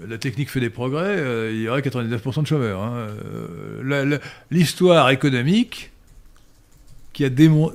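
A man holds forth in an unscripted question-and-answer session, speaking at an ordinary middle pitch for a man. He lectures in long explanations broken by frequent hesitation sounds, repeated words and self-corrections. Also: gravelly. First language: French. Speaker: guest answering viewer questions. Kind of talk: unscripted spoken Q&A.

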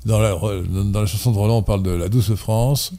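A man in a casual debate, erudite and digressive, often pausing on fillers and correcting himself. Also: fairly low pitch, mellow. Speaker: debate guest.

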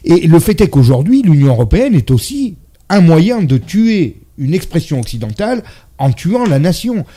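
A man's voice: a little high, very animated, moderate pace, mellow. A man from France in a casual debate, emphatic and argumentative, readily agreeing with his partner. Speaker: debate guest.

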